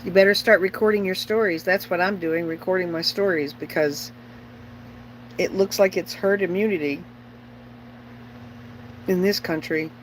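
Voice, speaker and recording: A woman's voice: fairly low pitch, steady tone, moderate pace, long pauses, a little hiss or hum, low-quality recording. A middle-aged woman chatting casually on a livestream as host, rambling and opinionated, bluntly repeating herself for emphasis.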